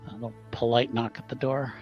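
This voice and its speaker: A man's voice: medium pitch, flat delivery, fast talker, muffled recording, soft background music. A man playing a tabletop game, chatting casually and hesitantly as he searches for words to describe his character's moves. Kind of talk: casual, improvised game chat.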